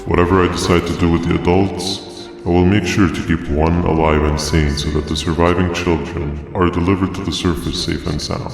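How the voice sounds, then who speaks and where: A super deep calm jellyfish monster from a video game, flat menacing speeches, big echo. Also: male voice, vocal fry. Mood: musing. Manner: wordy, deliberate, theatrical monologuing. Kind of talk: video game villain monologue. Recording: echoey long reverb, music behind.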